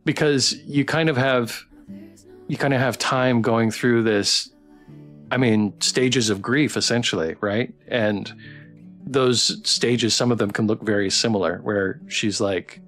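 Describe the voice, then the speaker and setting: A man hosting a casual livestream interview, thinking aloud in a halting way. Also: medium pitch, steady tone, a bit bright. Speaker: streamer hosting a developer Q&A. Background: faint plucked guitar music.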